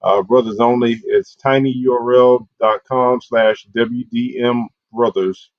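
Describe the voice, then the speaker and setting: A man's voice: mid-range voice, fairly even intonation, measured pace, no room sound. A man hosting an online community program and reading out announcements over a video call. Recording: dull, lo-fi video call audio.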